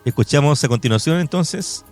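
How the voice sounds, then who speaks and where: A man's voice: fairly high pitch, expressive, normal speed, a little mellow. A Chilean man hosting a radio programme in a courteous, reflective conversational way. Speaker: radio host. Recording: music bed under the voice. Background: background music.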